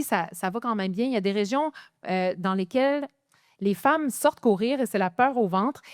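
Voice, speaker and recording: A woman's voice: on the high side, dry recording, clean studio sound. A woman with a Quebec French accent co-hosting a live podcast in a chatty, upbeat way. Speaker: podcast co-host. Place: event in front of a live audience.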